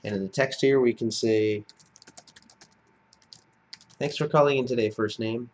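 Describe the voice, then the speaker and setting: A man's voice: mid-range voice, fairly even intonation, unhurried, darkish tone. A man giving step-by-step screencast narration as an instructor, in a plain-spoken, explanatory manner. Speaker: software tutorial instructor.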